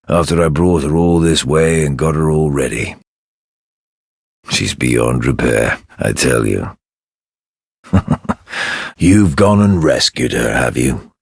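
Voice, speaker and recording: A man playing a surly, contemptuous knight in a dark fantasy game, with a gravelly voice and a sneering, condescending drawl. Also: no room sound, clean studio sound, exaggerated voice. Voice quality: very deep, gravelly. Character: surly, contemptuous, menacing knight guarding a girl.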